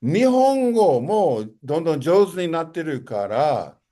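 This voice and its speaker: A man's voice: a little high, very animated, brisk, warm tone. A talkative man asking questions and explaining things in a casual multilingual conversation.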